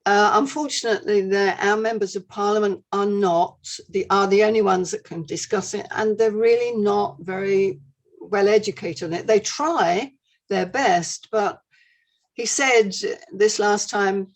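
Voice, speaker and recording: A woman's voice: mid-range voice, measured pace, poor audio. A British woman lecturer answering questions in an online seminar, speaking in an earnest, explanatory way. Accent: British English. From United Kingdom. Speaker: guest lecturer.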